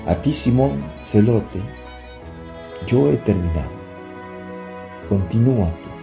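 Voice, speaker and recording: An actor playing an earnest apostle leader, preaching solemnly and oratorically in a religious radio drama. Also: male voice, fairly low pitch, expressive, takes it slowly, creaky, over music, heavily acted. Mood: fervent exhortation.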